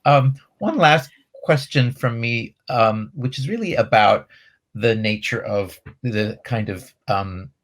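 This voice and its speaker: A man moderating a film Q&A in a conversational, measured way, with hesitant pauses between phrases. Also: medium pitch. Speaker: Q&A moderator, interviewer. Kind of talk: conversational film festival interview.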